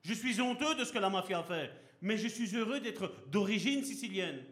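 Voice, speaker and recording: A man preaches with fervor, his voice sitting high for a man and carrying through a roomy, echoing hall. He pastors a congregation and keeps pressing them with rhetorical questions. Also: expressive, moderate pace, a bit bright. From Belgium. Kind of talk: sermon. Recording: echoey hall.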